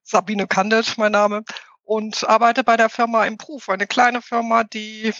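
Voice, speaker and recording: Woman, fifties, Ruhr German accent, bright voice, reflective panel talk, dull lo-fi recording. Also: a little high, flat delivery, no echo. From Ruhr area, Germany. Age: 50s. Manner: reflective, loosely phrased, with thinking pauses. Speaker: Scrum trainer and panelist. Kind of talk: panel self-introduction.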